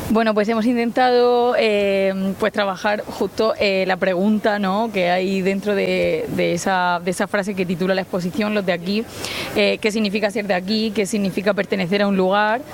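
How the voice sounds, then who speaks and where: A woman's voice: mid-range voice, little pitch movement, normal speed. A woman with an accent from Spain explaining informally as an exhibition organiser, often searching for words. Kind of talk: informal spoken explanation in an interview.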